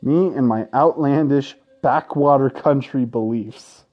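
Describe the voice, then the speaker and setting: A man doing gaming commentary, reading a visual novel aloud with casual, sweary asides and an expressive, dramatic delivery. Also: mid-range voice, a little slow, dull audio. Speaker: let's play host. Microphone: close gaming microphone.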